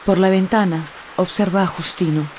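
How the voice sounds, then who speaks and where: A woman's voice: on the low side, steady tone, normal speed, a little mellow, a little hiss or hum, poor audio. A woman narrator reading a scripted radio serial in a solemn, suspenseful, dramatic way.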